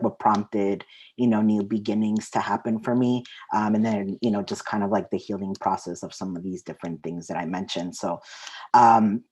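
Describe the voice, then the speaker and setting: A woman's voice: rumbling low, dark tone, breathy edge. A woman, sharing her poetry at an open mic. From Mexico, raised partly in the US Southwest. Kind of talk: spoken-word poetry reading with casual introduction.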